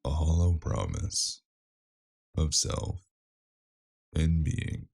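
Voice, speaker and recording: A man playing a brooding, fractured test subject in a cyberpunk noir audio drama, speaking in cryptic, halting phrases. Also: rumbling low, expressive, vocal fry, exaggerated voice. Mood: bleak, resigned. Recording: cavernous reverb, distant voice, loud music behind.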